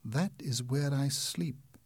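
A man's voice: mid-range voice, slow pace, breathy edge, no echo. A man voicing a tormented, lovesick masked male phantom, pleading and anguished. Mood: somber.

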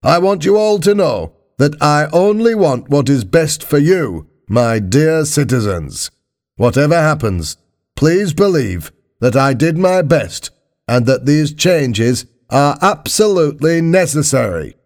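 A storybook Prime Minister, played by a male narrator, addressing his citizens with formal, earnest oratory. The voice is pushed up in pitch, self-justifying and eager to be believed. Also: big pitch swings, unhurried. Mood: solemn, pleading.

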